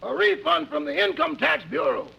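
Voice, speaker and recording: An actor playing an excitable, cheeky household servant with a gravelly voice in a 1950s radio comedy. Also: male voice, very high voice, moderate pace, lo-fi sound, heavily acted. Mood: dutiful. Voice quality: gravelly, raspy. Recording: noisy vintage 1950s radio recording.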